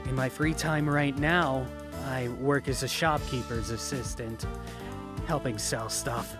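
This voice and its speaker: Man as a slow, suave teenage talent scout over music. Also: mid-range voice, natural voice. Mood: smooth, amused. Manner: calm, confident, silver-tongued. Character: suave laid-back French seventeen-year-old male talent scout. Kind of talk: audio drama character interview.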